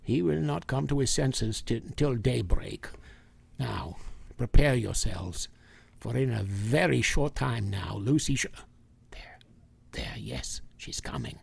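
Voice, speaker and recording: An actor playing a grave, commanding older professor who hunts vampires in a vintage radio drama, speaking urgently and deliberately. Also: male voice, mid-range voice, sing-song, creaky, husky, no room sound, full character voice. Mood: solemn.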